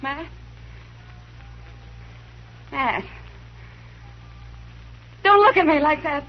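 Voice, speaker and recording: A woman's voice: sits high, very slow, exaggerated voice. An actress playing a young frontier woman who pleads urgently in a 1950s radio drama. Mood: pleading. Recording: dull old radio recording with faint hiss.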